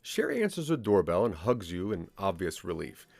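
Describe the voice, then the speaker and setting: Low-voiced, animated male story narrator. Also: normal speed, creaky, very noisy. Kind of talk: scripted second-person story narration.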